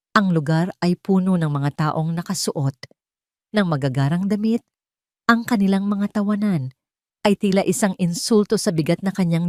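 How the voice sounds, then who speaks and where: A woman storyteller delivers scripted, dramatic narration. Her voice is fairly low and soft-toned, recorded very dry with no room around it. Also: no echo.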